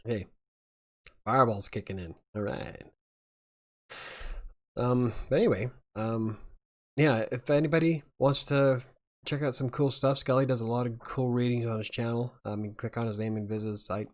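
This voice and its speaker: A man's voice: medium pitch, steady tone, moderate pace, pauses often. A man hosting a casual live chat, rambling and chuckling as he talks. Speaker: livestream host.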